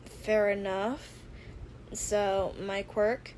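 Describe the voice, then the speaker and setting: A woman reads as a veteran male hero and mentor in an amateur audio story. He sounds formal, deliberate and a little sheepish, earnest in his apologies. Faint noise sits on the recording. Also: medium pitch, level tone, darkish tone, pitched below the performer's own voice, low-quality recording.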